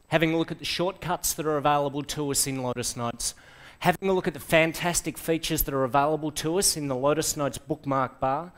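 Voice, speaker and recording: A middle-aged Australian man delivers a lively tech talk in a lecture hall, his voice sitting a touch high. He keeps throwing questions at the audience and breaks in with quick asides. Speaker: conference presenter.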